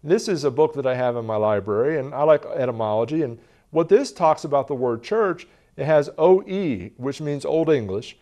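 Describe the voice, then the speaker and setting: A man's voice: medium pitch, expressive, normal speed, warm tone. A man in his forties teaching a Bible lesson from a lectern in a television studio, earnest and gesturing as he reads passages aloud.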